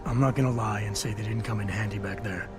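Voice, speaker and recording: An actor playing a brooding, guarded corporate security officer in a video game, terse and deadpan with a gravelly voice. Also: male voice, on the low side, quick pace, music in the background, lightly acted. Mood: brooding, probing.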